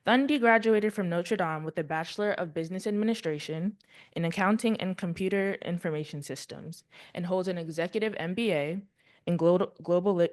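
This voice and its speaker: A woman introducing panelists at a university event, reading formal biographies with a few small stumbles. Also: lowish voice.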